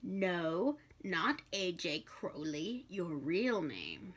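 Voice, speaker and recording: A woman voicing a grim, malicious male demon who speaks formally, with deliberate, menacing pauses. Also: lowish voice, big pitch swings, husky, heavily acted.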